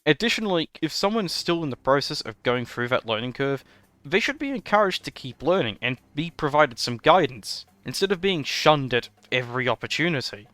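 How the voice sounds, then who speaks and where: A man hosting a scripted commentary in a formal, wordy manner with measured phrasing. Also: a little high, animated, a bit bright, no echo. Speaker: host of a tabletop roleplaying commentary show. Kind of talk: scripted video essay commentary. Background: background music.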